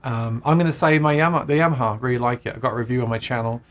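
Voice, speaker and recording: A man's voice: mid-range voice, steady tone, very fast. A man co-hosting a casual guitar livestream, reading viewer comments aloud and laughing often.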